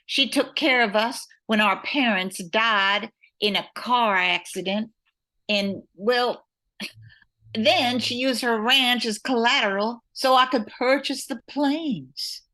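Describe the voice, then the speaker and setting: A woman's voice: fairly high pitch, slow pace, bright, forceful tone, rough recording. A woman playing a capable but worried airline owner and pilot, reading earnestly in a radio play. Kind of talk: old-time radio play acting.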